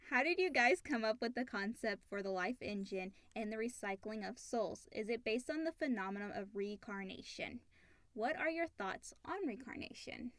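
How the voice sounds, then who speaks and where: Higher-voiced woman co-hosting a podcast. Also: lively intonation, normal speed, a bit bright, dry recording. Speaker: podcast co-host reading listener questions. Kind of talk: casual podcast chat.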